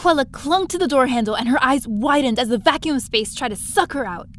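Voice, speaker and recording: Woman playing a high, animated young space adventurer. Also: brisk, slight background noise. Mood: tense, dramatic. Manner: expressive storytelling. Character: young woman adventurer narrating her space escapades, earnest, excitable. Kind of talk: first-person audio drama narration.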